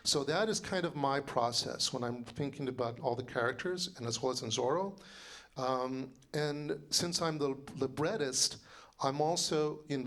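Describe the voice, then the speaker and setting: A man in his sixties, a composer and librettist, giving a loud, rambling informal lecture full of fillers and restarts. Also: mid-range voice. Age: 60s.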